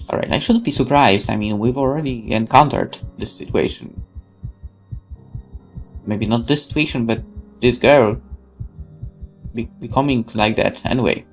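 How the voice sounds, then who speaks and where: A man reads a horror visual novel aloud with theatrical intensity. His mid-pitched voice moves up and down a lot, over quiet background music. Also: gravelly. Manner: theatrical, intense, occasional stumbles over words. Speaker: gaming channel host reading a visual novel aloud. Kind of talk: dramatic scripted horror narration.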